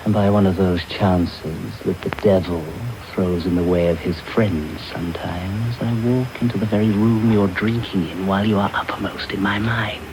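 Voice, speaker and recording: An actor playing a sinister, secretive villain, commanding, contemptuous and deliberate, in a radio drama. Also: male voice, low-pitched, fairly even intonation. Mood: brusque, probing. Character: sinister, secretive villainous man, brusque and menacing. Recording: noisy off-air medium wave radio tape.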